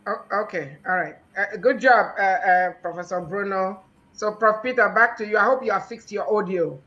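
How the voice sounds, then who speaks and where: A moderator running an online session, brisk and full of fillers. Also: medium pitch, sharp tone. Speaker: webinar moderator. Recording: muffled video-call audio.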